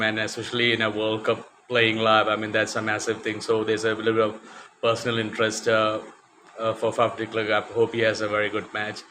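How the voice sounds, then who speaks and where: A man's voice: fairly low pitch, pushed and bright, a little hiss or hum, rough recording. A man giving a casual talk to camera as a vlogger, hesitant, with many fillers and restarts. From West Africa.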